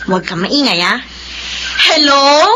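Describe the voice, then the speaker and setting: An actress playing a young adult daughter in a Filipino radio drama, teasing and easily moved to tears. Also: female voice, high-pitched, animated, unhurried, loud music behind the voice. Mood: anxious. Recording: noisy, slightly dull radio drama recording.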